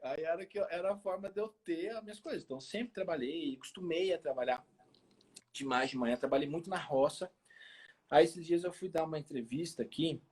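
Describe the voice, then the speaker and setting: Guy around 40, rural minas gerais accent, talks quick n lively, bit raspy, super casual. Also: fairly high pitch. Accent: rural Minas Gerais Brazilian. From small town in Minas Gerais, Brazil. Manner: animated, colloquial, repeats phrases for emphasis. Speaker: health expert guest on a live chat. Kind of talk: casual chat with personal stories. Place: remote social media live video call.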